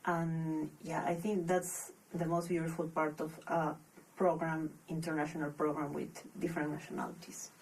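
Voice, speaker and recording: Peruvian woman, soft-spoken, far from mic. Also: low voice, level tone, slight background noise. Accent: Peruvian Spanish. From Peru. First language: Spanish. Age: young adult. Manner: thoughtful, pauses often and restarts phrases. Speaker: podcast guest, master's student. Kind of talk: casual interview.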